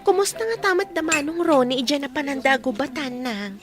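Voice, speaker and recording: A woman's voice: high voice, a little fast, over music, close to an everyday voice. A woman playing a wistful, concerned young village woman with an Ilocano accent in a radio drama.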